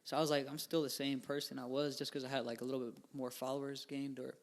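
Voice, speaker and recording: A man's voice: medium pitch, level tone, fast talker. Laid-back young man rambling casually. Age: 20s. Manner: rambling, filler-heavy, laid-back slang. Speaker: guest musician. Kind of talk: casual podcast interview.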